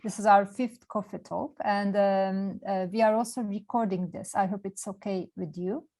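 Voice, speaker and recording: A woman hosting an online event speaks in a polite, hesitant way, with an everyday mid-pitched voice. She comes through a video call. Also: steady tone, moderate pace, warm tone. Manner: hesitant welcome, polite, filler-heavy. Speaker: host.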